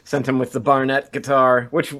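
Male podcast co-host, medium pitch, casual and conversational, halting delivery with frequent restarts. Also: flat delivery, fairly bright tone.

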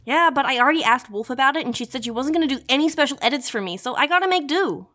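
A self-important young female superhero with a high voice, hosting her own show in an audio drama. She talks in melodramatic, mock-epic flourishes and drops into slangy, brash chatter. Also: lively intonation, quick pace, sharp tone. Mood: defensive. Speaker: host of an in-story news show.

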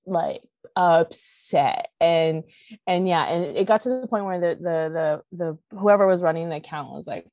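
A woman's voice: lowish voice, unhurried, darkish tone, no echo, studio quality. A woman chatting casually as a podcast guest, informal and playful with slangy phrasing. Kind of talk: casual chat.

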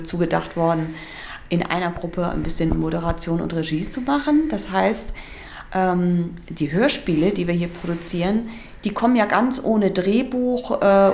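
A woman who moderates and directs workshops, giving unscripted interview answers and haltingly searching for words. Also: medium pitch, darkish tone, slight background noise.

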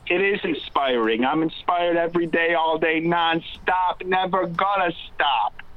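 A man's voice: fairly high pitch, unhurried, no room sound, lightly acted. A man voicing an overexcited male caller who rambles in grandiose terms. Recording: dry, dull phone audio.

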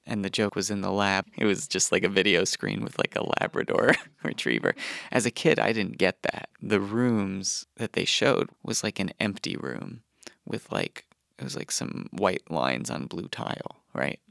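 A man's voice: deep voice, expressive, moderate pace, vocal fry, fairly bright tone, dry recording, clean studio sound. A man casually telling childhood stories in a conversational, chuckling way, with music underneath. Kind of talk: casual personal storytelling.

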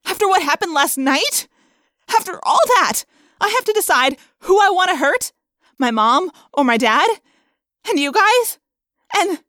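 Woman acting a high, fiery teenage girl. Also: animated, unhurried, no room sound, clean studio sound, exaggerated voice. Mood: overwhelmed. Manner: loud, emphatic, defiant outbursts. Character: fiery, sarcastic, overwrought teenage girl fighter.

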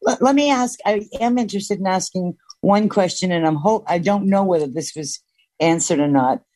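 A woman calling in to a discussion show, chatty and quick to laugh as she asks a question. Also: mid-range voice, mellow. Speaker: caller. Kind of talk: call-in chat.

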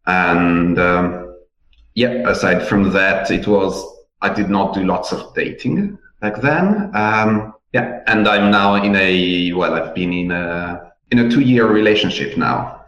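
A man in his thirties from Italian-speaking Switzerland, a native speaker of Italian and French, answering interview questions in measured, slightly formal wording. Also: low-pitched, fairly bright tone, dull audio. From southern, Italian-speaking Switzerland. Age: 30s. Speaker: podcast guest. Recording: echoey, reverberant room.

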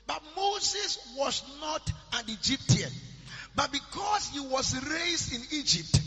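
A man preaching a sermon in a chanting, sing-song style that rises into shouts. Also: very high voice, very animated, slow pace. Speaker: pastor. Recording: church hall reverb with music behind.

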